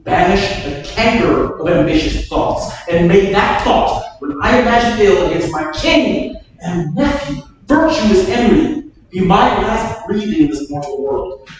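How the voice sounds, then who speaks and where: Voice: medium pitch, expressive, a little slow, a little hiss or hum, close to an everyday voice. An actor reading a troubled loyal old duke. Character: troubled loyal duke, chiding his wife. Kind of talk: staged Shakespeare reading. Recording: echoey hall from far off.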